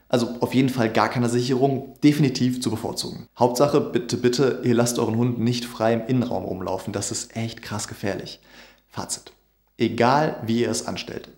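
A veterinarian in his thirties talks straight to camera in a scripted explainer, earnest and emphatic. His voice sits at an ordinary mid pitch. The room gives it a noticeable echo. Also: creaky, a little mellow. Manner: earnest, emphatic, gestures with hands. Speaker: veterinarian YouTuber. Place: dim home studio with hanging bulbs. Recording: roomy echo.

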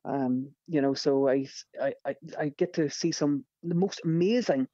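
A woman's voice: low voice, moderate pace, mellow, dry recording, muffled recording. A woman with a Northern Irish accent, a fishing guide telling stories as a podcast guest, enthusiastic and rambling with many fillers. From Northern Ireland.

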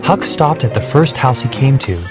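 A man reading a children's storybook, clear and measured. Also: medium pitch, expressive. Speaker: narrator. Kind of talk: scripted storybook narration. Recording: soft background music.

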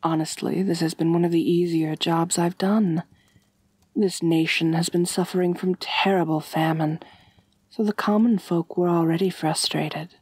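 A woman playing an ancient, wealthy vampire mistress in an intimate ASMR roleplay, genteel and measured, doting yet gently dominant, with a soft breathy voice. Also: fairly low pitch, steady tone, no echo. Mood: poised, matter-of-fact.

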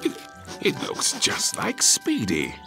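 A man voicing a kindly, blustery older gentleman in a preschool cartoon, gentle and hesitant with a slight stammer. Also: medium pitch, huge pitch swings, takes it slowly, creaky, faint music behind, heavily acted. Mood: amazed.

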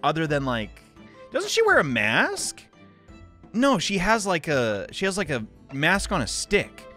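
A man chats through a game in a loose, rambling, self-deprecating way, with a lively voice that jumps up and down in pitch. Faint game music runs under his talk. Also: unhurried. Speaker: let's play host. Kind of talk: casual gameplay commentary.

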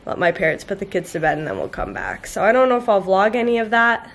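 A woman's voice: medium pitch, big pitch swings, fast, creaky. A young American woman chatting casually to her vlog as a mother, recorded at home in a living room on a handheld camera. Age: young adult. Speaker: vlogging mother of young children.

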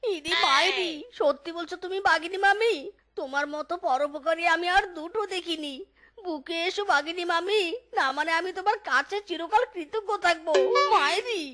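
A performer voicing a sly, shameless widower fox in a cartoon, high-pitched, whiny and melodramatic, full of wheedling exclamations. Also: normal speed, pushed and bright. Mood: pleading, effusive. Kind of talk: cartoon voice acting.

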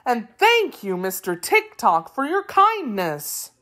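A woman voicing a fearful, eager male wheeled creature from a children's storybook, pleading and excitable. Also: sits high, slow, voice pushed up, full character voice. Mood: eager relief.

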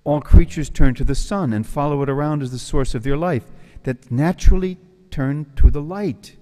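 An American priest in his sixties from New York City, giving an animated retreat talk at a lectern in a large hall. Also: fairly high pitch, normal speed. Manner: animated, gestures with both hands, tells jokes. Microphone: stand mic at the lectern. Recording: long hall reverb.

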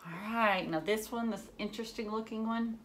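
A woman in her thirties reading a children's book aloud like a teacher, with expressive asides and questions to young listeners. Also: on the high side, moderate pace, slight background noise. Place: indoors, living room at home.

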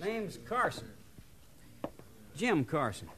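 Cocky young punk, high swinging voice. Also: male voice, big pitch swings, slow, sharp tone, slight background noise, full character voice. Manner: defiant, chip on shoulder. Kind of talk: 1950s radio western acting.

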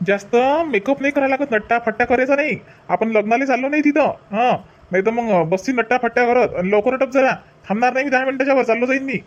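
A man's voice: extremely high pitch, fast talker, lo-fi sound. A man playing a bossy village husband and brother in a comedy cartoon, brusque and repetitive, with a Vidarbha Marathi accent. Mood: bossy, impatient. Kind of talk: exaggerated cartoon family comedy.

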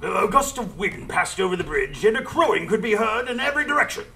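Casting: gentleman narrator played by a man, very animated, high-pitched, dramatic storytelling for radio drama. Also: brisk, slight background noise, full character voice. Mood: dramatic, suspenseful. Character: earnest gentleman doctor narrating his adventure.

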